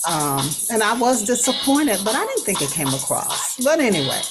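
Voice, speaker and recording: A woman's voice: mid-range voice, sing-song, moderate pace. A woman hosting an online radio show, chatting directly to her audience and commenting casually on the news. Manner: conversational, addresses audience directly. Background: music under the voice.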